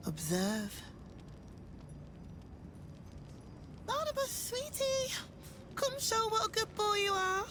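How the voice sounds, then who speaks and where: A woman's voice: extremely high pitch, huge pitch swings, measured pace, noisy background, full character voice. An actress playing a sinister woman cultist who speaks in a cooing, honeyed, condescending way. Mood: honeyed menace.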